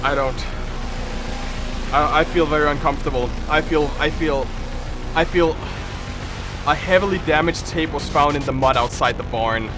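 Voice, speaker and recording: A man with a high-pitched voice streams his reaction to horror videos. He reads aloud and comments in a dramatic way, repeating phrases over and over. Music plays clearly behind him. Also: normal speed, long pauses. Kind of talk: live reaction commentary, reading on-screen text aloud.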